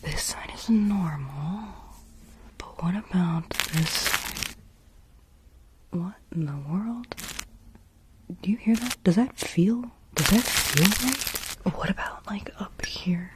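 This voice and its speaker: A woman whispering softly and slowly in a soothing, repetitive ASMR style. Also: on the low side, background noise. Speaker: ASMR creator.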